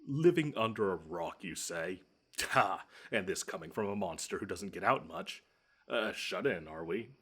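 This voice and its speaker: A man's voice: sits low, sing-song, normal speed, a bit bright, husky, heavily acted. A man voicing a smug, theatrical monster from under the bed, menacing and easily flustered, in an audio roleplay. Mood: incredulous, haughty. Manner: theatrical, taunting, haughty. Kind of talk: scripted one-sided audio roleplay.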